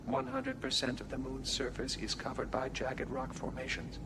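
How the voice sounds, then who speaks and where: Military briefing voice, formal, clipped, impersonal, mid pitch, very noisy transmission, sci-fi radio drama. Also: male voice, big pitch swings, normal speed, vocal fry. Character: impersonal male military orientation recording announcer. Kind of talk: scripted briefing narration in sci-fi audio drama.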